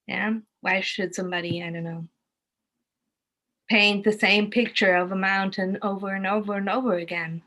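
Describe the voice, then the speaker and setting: A woman with a German accent, a native German speaker, casually explaining her work in a halting way as she thinks aloud. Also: medium pitch, fairly bright tone. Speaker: interviewed art educator giving museum tours.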